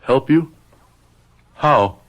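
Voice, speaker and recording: A man playing a formal, brooding middle-aged professor in an old-time radio drama, measured and precise. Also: medium pitch, expressive, a bit bright. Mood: reserved. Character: formal, brooding college psychology professor in pain.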